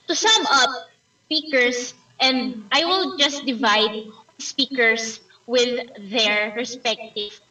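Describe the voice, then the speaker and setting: A woman's voice: fairly high pitch, sharp tone. A Filipino woman whose first language is Tagalog, giving a playful timer's report with theatrical asides over a video call. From Philippines. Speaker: meeting timer. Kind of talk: online club meeting report. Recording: echoey room, distant mic.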